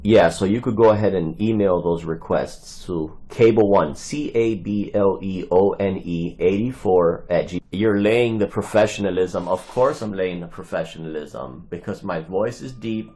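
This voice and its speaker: A man's voice: deep voice, a little mellow. A man making insistent, long-winded phone requests for public records. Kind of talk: recorded phone calls and commentary.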